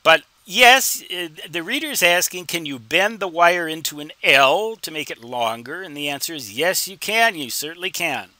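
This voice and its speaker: Very bright-voiced man giving an informal tutorial. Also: fairly high pitch, expressive, no room sound. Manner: wry, folksy humor, deliberate phrasing. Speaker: instructor answering reader questions.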